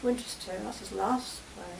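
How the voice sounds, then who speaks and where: A woman's voice: medium pitch, fairly quick, gravelly. A woman in a casual discussion who chats readily and reads passages aloud. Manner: chatty, reads verse aloud. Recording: echoey room with noise.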